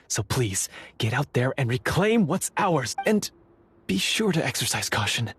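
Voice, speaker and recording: An actor playing a calm, steadfast undercover guard in a video game, speaking in a formal, measured and earnest way. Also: male voice, medium pitch. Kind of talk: scripted game voice acting.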